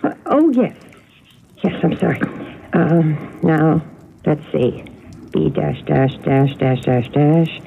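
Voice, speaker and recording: Sweet, flustered, slow-talking woman. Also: low voice, gravelly, full character voice. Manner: hesitant, exclaiming, wistful. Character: sweet, flustered, nostalgic woman recalling childhood. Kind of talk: radio mystery drama acting. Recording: narrow-band, noisy radio drama audio.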